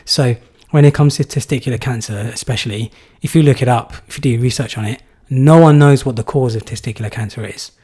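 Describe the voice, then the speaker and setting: A man's voice: mid-range voice, a little fast, few pauses, mellow, breathy edge. A young man from England answering viewer questions in a live stream, casual and rambling with many fillers. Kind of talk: live Q&A chat.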